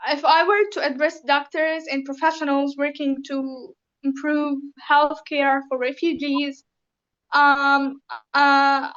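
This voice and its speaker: Syrian-accented woman, high voice, lo-fi. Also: fairly bright tone. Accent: Syrian Arabic. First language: Arabic. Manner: careful, formal phrasing with many ums. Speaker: interview guest. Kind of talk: interview answers.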